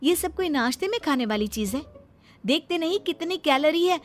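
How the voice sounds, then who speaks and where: A woman's voice: sits high, animated, normal speed. A woman playing a young, health-conscious daughter-in-law in a cartoon story, earnest, polite and firm as she reasons. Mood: alarmed scolding. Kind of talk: Hindi cartoon story acting.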